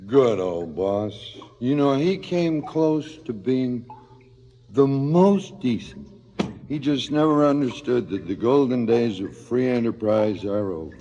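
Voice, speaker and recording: An actor playing a drunken, sardonic crooked cop in a theatrical radio drama, with a halting drawl. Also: male voice, medium pitch, lively intonation, dark tone, dull audio, a little hiss or hum. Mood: sardonic, ominous. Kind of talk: 1970s radio drama acting.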